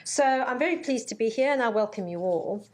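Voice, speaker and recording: A middle-aged woman born in Zambia giving a formal conference welcome speech, measured and earnest, with frequent ums. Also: on the high side, lively intonation, a little mellow. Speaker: university vice-chancellor. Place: conference hall.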